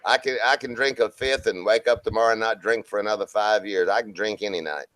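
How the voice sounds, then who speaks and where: A man's voice: lowish voice, steady tone, fast talker, a bit bright, dry recording, rough recording. A man joking and boasting in a casual online group chat, often stumbling and restarting. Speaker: livestream chat participant.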